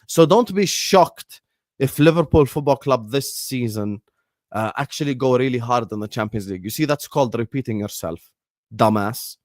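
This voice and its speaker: A man's voice: medium pitch, expressive, moderate pace, dry recording, studio quality. A man from Jordan whose first language is Arabic, hosting a football live stream in a combative, repetitive way. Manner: combative, repeats phrases, fillers like "you get me". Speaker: football channel host.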